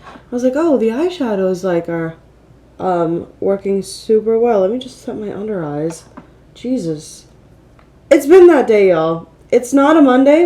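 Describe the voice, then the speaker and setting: A woman's voice: a little high, dark tone. A woman with a slightly nasal, congested voice, chatting casually and self-deprecatingly as she hosts a get-ready-with-me makeup vlog. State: mildly congested from a cold. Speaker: beauty vlogger, channel host.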